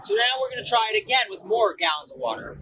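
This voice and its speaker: A young man presents simple science to children in a home-made recording. His voice sits a little high and moves up and down in an animated way. There is faint background noise. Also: fast, rough recording. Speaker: read-aloud host and science demonstrator. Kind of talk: children's read-aloud and hands-on explanation.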